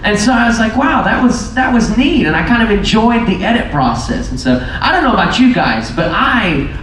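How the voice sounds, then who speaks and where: A man, a vlogger on a Q&A panel, talking earnestly and enthusiastically to an audience. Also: slight background noise. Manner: earnest, enthusiastic, jumps up in pitch on quotes. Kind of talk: heartfelt casual talk to an audience. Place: large lounge on a cruise ship. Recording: very echoey room from far off.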